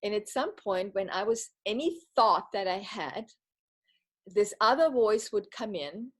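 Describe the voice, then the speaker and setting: A woman who grew up speaking Bavarian tells a personal story in English. She speaks at a middle pitch, reflective and earnest, often circling back and restarting her sentences. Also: expressive, a little slow, husky. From Bavaria, Germany. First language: Bavarian German. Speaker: retreat participant giving a testimonial.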